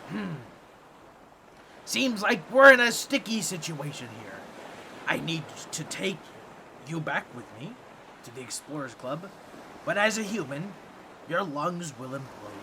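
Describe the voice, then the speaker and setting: A man's voice: on the high side, very animated, measured pace, long pauses, noisy background. Squawky, boastful octopus merman. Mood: awkward scheming. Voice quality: grating, squawky, nasal. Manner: theatrical, pompous, fumbling with awkward asides. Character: boastful, scheming, awkward talking octopus merman. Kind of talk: comedic audio roleplay addressed to listener.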